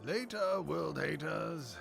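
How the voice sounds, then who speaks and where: Man acting gorilla villain, very gravelly fry, mid pitch, laid-back, comedic radio play, music behind. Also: lively intonation, slow, full character voice. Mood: grudging, casual.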